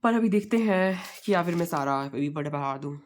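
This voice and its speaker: A young man speaks hoarsely through a sore throat as he tutors online. He explains each point in a repetitive, chatty way and keeps calling his viewers friends. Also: a little high, expressive, brisk. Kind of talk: exam revision lecture.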